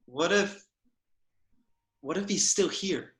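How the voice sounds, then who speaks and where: A man's voice: high voice, expressive, slow pace, fairly bright tone, exaggerated voice. A man reading the part of a timid boy, speaking hesitantly and uneasily. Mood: uneasy. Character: timid uneasy boy, scared of unseen things. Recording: roomy echo.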